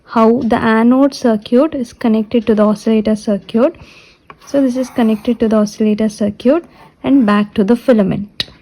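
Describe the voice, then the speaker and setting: Woman lecturer, fairly high voice but soft-edged and mellow, explaining technical material step by step with deliberate repetition. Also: steady tone, poor audio. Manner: repeats and restates points deliberately. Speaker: physiotherapy lecturer.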